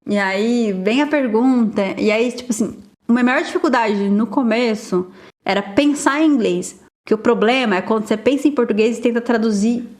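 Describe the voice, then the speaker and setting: A Brazilian woman with a voice a little higher than most, talking easily as a guest on a podcast. She rambles in a friendly way, leans on fillers and drops into quick quoted asides. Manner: chatty, many fillers, quotes others in lively asides. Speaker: guest software engineer. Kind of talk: casual podcast conversation.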